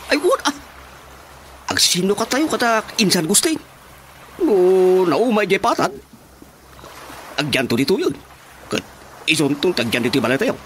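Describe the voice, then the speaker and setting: Bossy rustic dad with Ilocano accent, radio comedy drama, slightly high pitch, extremely animated delivery. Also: male voice, normal speed, pauses often, slight background noise. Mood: insistent. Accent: Filipino, Ilocano.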